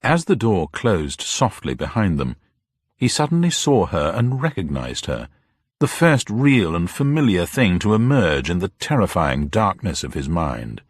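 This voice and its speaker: A man's voice: deep voice, big pitch swings, unhurried, breathy edge, no echo, clean studio sound. A man narrating a scripted mystery story in a dramatic style.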